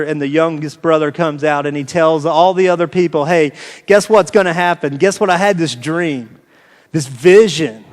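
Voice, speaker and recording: Casting: male American pastor, high-set voice, informal sermon delivery with emphatic repetition, recorded in a reverberant hall. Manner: informal, repeats phrases for emphasis. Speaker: pastor preaching to a congregation. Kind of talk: conversational sermon with scripture reading. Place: large reverberant room, preaching live.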